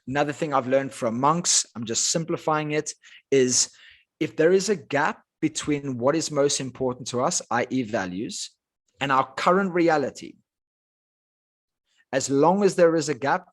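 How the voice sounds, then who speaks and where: A South African man whose first language is Afrikaans talks as a life coach guest on a podcast. His middle-pitched voice swings widely, rising and falling with each point. He sounds energetic and emphatic. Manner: energetic, emphatic motivational talk. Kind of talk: podcast interview.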